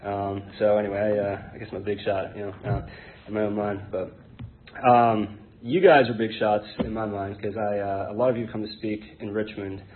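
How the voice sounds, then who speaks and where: Low-voiced American man, tinny noisy recording. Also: steady tone. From born in Hong Kong to an English identity, raised in Connecticut, United States. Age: 30s. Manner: hesitant, halting, rambling. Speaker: guest speaker at an Alcoholics Anonymous meeting. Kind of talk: informal personal testimony to a group. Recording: tinny phone-like band, noisy background.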